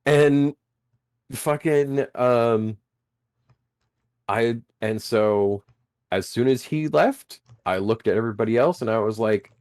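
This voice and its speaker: A man game master chatting casually, animated and laughing often, swearing freely, with a booming voice. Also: slow, long pauses, dry recording, studio quality. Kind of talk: casual podcast chat.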